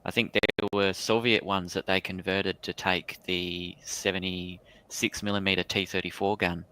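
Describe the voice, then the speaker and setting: A man chatting casually and haltingly, often repeating words. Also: low-pitched, flat delivery, sharp tone, clean tone, poor audio. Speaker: panelist. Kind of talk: casual hobby chat.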